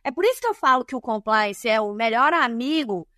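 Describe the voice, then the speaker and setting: Bahian woman guest, high pitch, dry close sound, emphatic explainer. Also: animated, brisk, pushed and bright, no echo. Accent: Bahian Brazilian Portuguese. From Bahia, Brazil. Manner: emphatic and didactic, lists points. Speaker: guest, diversity and compliance specialist. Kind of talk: podcast interview, explanatory.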